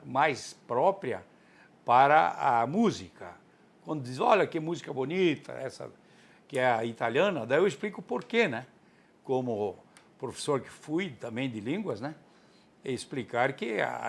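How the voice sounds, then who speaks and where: An older man from southern Brazil, an author giving an earnest interview answer and often adding "né". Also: on the high side. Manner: earnest, enthusiastic; frequent tag "né".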